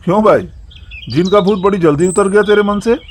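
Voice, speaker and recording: A man's voice: sits high, a little fast, a little hiss or hum, natural voice. A man voicing a skeptical young village man with a blunt, teasing way of talking, over background music.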